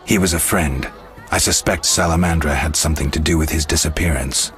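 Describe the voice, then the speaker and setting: An actor playing a stoic, gruff monster hunter turned investigator in a video game, terse and flat in delivery. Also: male voice, extremely low pitch, a little fast. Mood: cool, probing.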